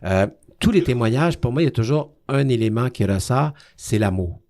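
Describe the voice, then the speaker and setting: A man hosting a radio interview about film, chatty and quick to laugh, often repeating words as he talks. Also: medium pitch, lively intonation, a little mellow. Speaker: radio host.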